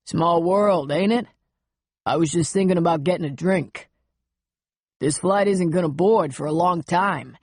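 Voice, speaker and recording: Woman voicing a cocky New York man, husky. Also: on the low side, measured pace, darkish tone, no room sound, clean studio sound. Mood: smug flirting. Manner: slick, cocky. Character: smug, brazen flirting male pilot from New York. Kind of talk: audiobook character dialogue.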